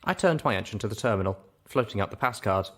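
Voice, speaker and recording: A man's voice: lowish voice, animated, quick pace, a bit bright. A man narrating a story expressively as host of a reading show.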